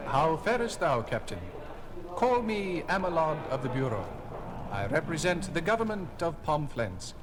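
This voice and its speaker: Man as ceremonious alien diplomat, fairly high voice, very animated pitch, flowery speech, sci-fi audio drama. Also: measured pace, crowd noise. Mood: courteous, ceremonious. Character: courtly, formal alien government envoys and escort.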